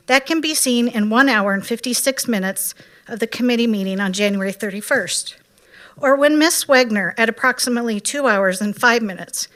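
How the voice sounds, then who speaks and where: A woman reading a prepared public comment at a county board meeting in a measured, critical way. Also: a little high, a bit bright.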